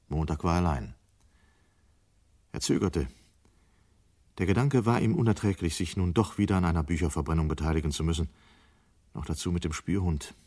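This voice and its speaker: An actor narrating a dystopian radio play in a grave, sober, measured way. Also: male voice, low voice, a little mellow.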